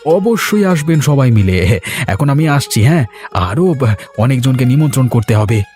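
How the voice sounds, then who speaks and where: A man voicing an excitable, proud middle-aged village father in a moral-story cartoon, with a folksy, exclamatory manner. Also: mid-range voice, normal speed, faint music behind, close to an everyday voice. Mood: friendly, earnest.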